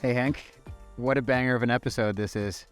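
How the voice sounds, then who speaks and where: A man's voice: mid-range voice, steady tone, a little fast, clear, resonant tone, faint music behind. A man from Ontario, Canada, in his forties, co-hosting a casual podcast with an upbeat, enthusiastic manner. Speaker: podcast co-host.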